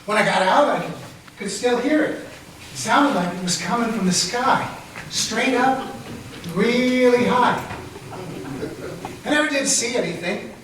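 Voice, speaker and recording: A man acting out a chatty small-town fellow who rambles through a puzzling tale in a high voice. It sounds distant and rings through a very echoey hall, as in a live radio play on stage. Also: a little slow, long pauses, fairly bright tone, slight background noise. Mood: puzzled.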